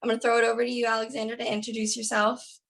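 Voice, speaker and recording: Woman host, upbeat, chatty, fast, bright tone. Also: on the high side, completely flat delivery, vocal fry. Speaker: host, communications director. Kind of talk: casual interview hosting.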